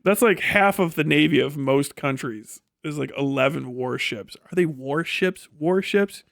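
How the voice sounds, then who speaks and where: A man's voice: a little high, measured pace, gravelly, fairly bright tone, dry recording, clean studio sound. A man giving off-the-cuff political commentary as a live streamer, talking in a blunt, profane, sardonic and dismissive way.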